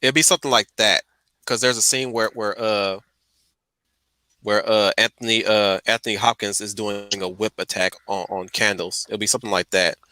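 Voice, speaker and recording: A man's voice: lowish voice, fairly even intonation, normal speed, sharp tone, no room sound. A man chatting casually on a stream in an emphatic, slangy way, often repeating his phrases. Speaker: stream host. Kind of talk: casual chat about films.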